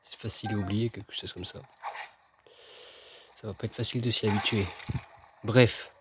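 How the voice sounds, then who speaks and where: Male gamer, low voice, chatty, joking, talks to the screen, faint background hiss. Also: steady tone, normal speed. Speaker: gaming video host. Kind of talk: casual let's-play commentary.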